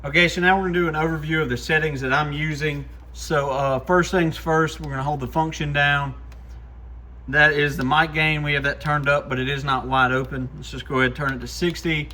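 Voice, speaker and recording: A man with a voice a little higher than average gives a casual hands-on product review. He talks informally, like a shop owner walking buddies through the gear on his bench. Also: steady tone, brisk, sharp tone. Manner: informal, addresses viewers as guys. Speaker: shop owner presenting radio product demos.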